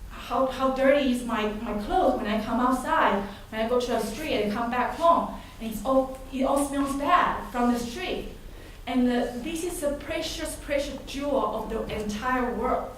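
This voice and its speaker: A woman who grew up in Argentina gives an earnest, halting public comment at a town meeting, restarting her sentences often. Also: on the high side. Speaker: resident speaking at a public hearing. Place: large echoey meeting hall. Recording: echoey hall, distant mic, some noise.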